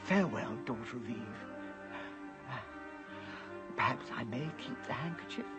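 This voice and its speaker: An actor playing a timid, guilt-ridden but kindly faun in an animated fantasy, anxious and often hushed. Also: medium pitch, fast, over loud music, close to an everyday voice. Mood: tender farewell. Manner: hushed, anxious, expressive, trailing into whispers. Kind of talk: animated fantasy film acting.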